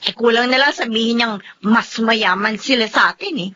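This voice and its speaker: A woman's voice: on the high side, moderate pace, pushed and bright. A woman with a Filipino accent playing a modest, touchy wife who complains plaintively in a radio drama. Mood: aggrieved, plaintive. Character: modest, touchy young wife, resentful of show-offs. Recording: dull lo-fi audio with faint noise.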